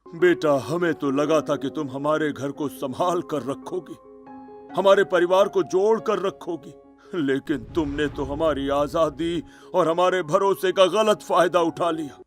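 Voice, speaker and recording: A man's voice: a little high, measured pace, soft background music, close to an everyday voice. Man voicing a grave, disappointed older father-in-law. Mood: hurt, disappointed. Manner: grave, reproachful. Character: disappointed elderly father-in-law, head of family.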